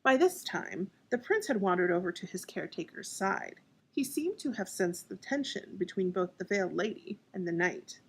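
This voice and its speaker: A woman's voice: medium pitch, very animated, moderate pace, breathy edge. A woman narrating as an author and storyteller. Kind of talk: scripted audiobook narration.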